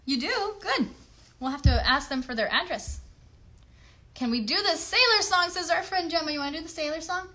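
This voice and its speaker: High, lively woman hosting a kids' livestream. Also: moderate pace, slight background noise. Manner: encouraging, addresses children directly with tag questions. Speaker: children's show host and craft teacher. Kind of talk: casual livestream chat and craft instruction for children.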